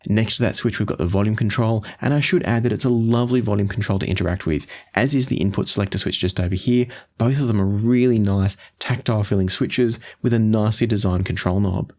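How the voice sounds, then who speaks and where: A man hosting an audio gear review, explaining things in a measured, orderly way. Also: fairly low pitch, a little mellow. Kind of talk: product review to camera.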